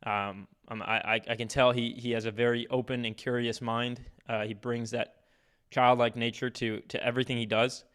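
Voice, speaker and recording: A man hosting a podcast, introducing his guest in a halting way, with many restarts. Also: medium pitch, level tone, fairly bright tone, smooth. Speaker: podcast host.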